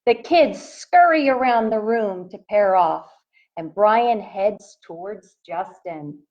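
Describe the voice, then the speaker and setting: A woman teacher reads a picture book to young children at a slow, deliberate pace. Her pitch moves widely and expressively as she puts questions to the listeners. The recording is dull and slightly muffled. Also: expressive. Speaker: elementary school teacher reading aloud.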